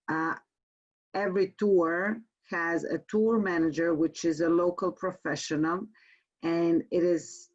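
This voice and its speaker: A woman's voice: lowish voice, a little mellow. Muffled Croatian-accented woman presenting on a webinar. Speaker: tour company presenter. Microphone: online webinar call. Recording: muffled, band-limited webinar call audio.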